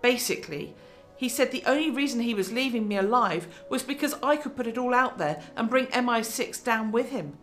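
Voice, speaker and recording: A woman's voice: a little high, expressive, a little fast, a bit bright, faint music behind. A woman playing a determined, earnest news reporter in a radio drama, with emphatic delivery.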